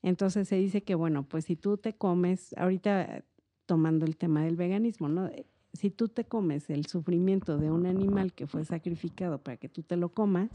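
A woman's voice: on the low side, normal speed, warm tone, no room sound. A middle-aged woman with a Mexican accent, explaining things in a reflective, meandering and informal conversational way. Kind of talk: casual conversational explanation on a podcast.

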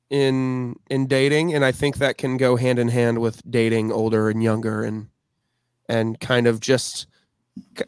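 A man in his twenties co-hosting a podcast, talking casually in a halting way with restarts. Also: medium pitch, smooth, no echo. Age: 20s. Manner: halting, restarts, drawn-out phrasing. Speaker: podcast co-host. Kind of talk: casual chat.

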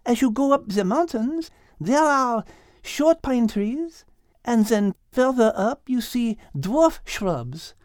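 A woman's voice: on the high side, very animated, slow pace, darkish tone, dry recording, clean studio sound, pitched above the performer's own voice. A woman voicing a clever, elegant female French cat who explains things precisely and eagerly in a children's audiobook, with a French accent. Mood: enthusiastic teaching.

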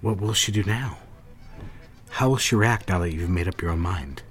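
An actor playing a tender, courtly, worldly lover in a romantic audio drama, soft-spoken and gently teasing. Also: male voice, low voice, moderate pace, lightly acted. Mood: gently probing.

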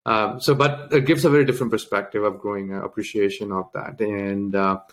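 A man from India, an interview guest, telling his life story in a halting way with many fillers and restarts. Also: on the low side, steady tone.

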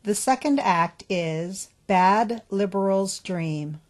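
A woman's voice: medium pitch, lively intonation, slow, darkish tone. A woman giving a scripted announcement between the acts of a radio play.

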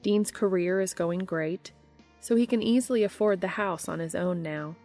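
A woman's voice: fairly low pitch, normal speed, mellow, soft background music. A woman hosting a podcast, reading a story aloud in scripted audiobook-style narration. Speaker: podcast host reading fan fiction aloud.

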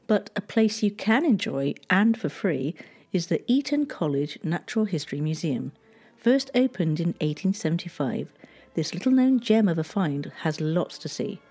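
Mellow woman narrating history. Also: fairly low pitch, sing-song, breathy edge. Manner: measured, gently storytelling delivery.

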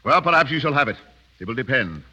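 An actor playing a suave, cruel, Oxford-educated mountain khan with urbane, mock-courteous menace in a 1930s radio drama. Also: male voice, mid-range voice, expressive, fast talker, bright, forceful tone, husky, exaggerated voice. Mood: coolly menacing. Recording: dull 1930s radio broadcast.

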